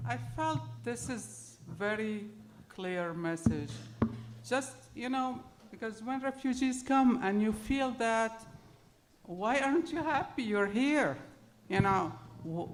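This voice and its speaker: Slow-paced Arabic-accented woman, noisy recording. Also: on the high side, animated. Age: middle-aged. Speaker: panel speaker telling her immigrant story. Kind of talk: personal storytelling to an audience.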